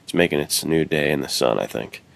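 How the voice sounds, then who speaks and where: An American man with an extremely low voice and plenty of gravel in it chats as a podcast co-host. He is informal and emphatic, speaking in a casual conversational style. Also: brisk, a bit bright. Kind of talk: casual chat.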